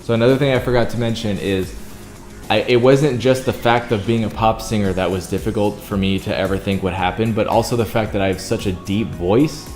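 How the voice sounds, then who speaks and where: A young man with a very deep baritone voice, chatting earnestly to his viewers in a casual vlog, full of "like" fillers. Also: fairly even intonation, a little fast, darkish tone, echoey room, faint music behind. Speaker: YouTuber and singer.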